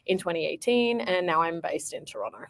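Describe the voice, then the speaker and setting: Chatty Australian woman, lowish voice. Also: a little fast, gravelly. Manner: chatty, laughs often, lots of fillers. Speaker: guest. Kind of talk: casual interview chat.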